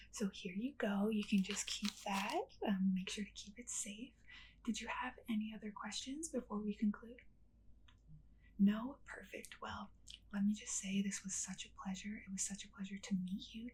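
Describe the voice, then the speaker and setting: A woman doing a whispered ASMR role play as a kindly witch, speaking gently and unhurriedly straight to the listener. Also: mid-range voice, a little hiss or hum, low-quality recording. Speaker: ASMR creator playing a witch.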